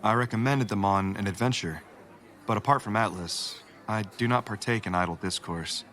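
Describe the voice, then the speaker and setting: Man playing a stiff, low-voiced formal lieutenant. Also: a bit bright, lightly acted. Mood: formal, modest. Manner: formal, precise, measured wording. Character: stiff, formal, principled male lieutenant, courteous and reserved. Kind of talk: audio drama acting.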